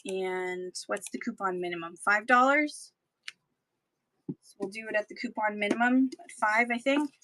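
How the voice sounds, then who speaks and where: A woman selling books in a chatty live-stream auction, her voice getting hoarse, with playful sing-song asides. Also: mid-range voice, moderate pace, bright, forceful tone, a little hiss or hum.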